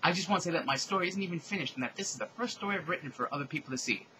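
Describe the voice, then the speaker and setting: A man's voice: fairly high pitch, expressive, rapid-fire, background noise, voice pushed up, close to an everyday voice. A man voicing a defensive young fanfiction author reading out a note in a hurt, earnest way.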